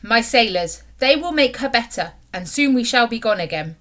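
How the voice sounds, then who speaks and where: A woman's voice: fairly high pitch, expressive, moderate pace, fairly bright tone. A woman voicing a cheerful, burly male Russian sea captain with a put-on Russian accent, speaking grand, broken English. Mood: jolly.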